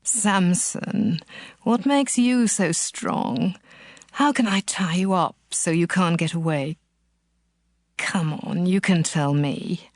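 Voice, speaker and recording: A woman's voice: lowish voice, takes it slowly, no echo, clean studio sound. An actress playing a coaxing, scheming seductress in a Bible audio drama, drawing out her words.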